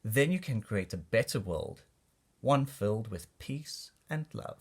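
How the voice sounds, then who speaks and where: A man reading a rhyming children's storybook aloud in an expressive, deliberate way for young listeners. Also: fairly low pitch, slightly rough. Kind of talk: read-aloud storybook narration of rhyming verse.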